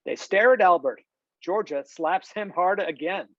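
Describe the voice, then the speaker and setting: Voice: medium pitch, unhurried, dry recording. A narrator reading stage directions in a scripted screenplay table read. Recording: slightly dull video-call audio, dry.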